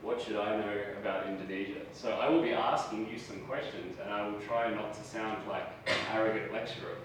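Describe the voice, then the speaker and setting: A man's voice: on the low side, fairly even intonation, fairly quick. A man with an Australian accent giving a university lecture, chatty and self-deprecating, often asking the audience questions. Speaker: guest lecturer. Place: large lecture hall. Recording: echoey hall, voice from far off, noisy.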